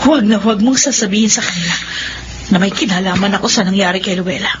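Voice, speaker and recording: A woman's voice: medium pitch, quick pace, lightly acted. A woman with a Filipino accent playing a scheming, threatening, irritable young woman in a radio drama. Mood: menacing. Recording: muffled, very noisy radio drama recording.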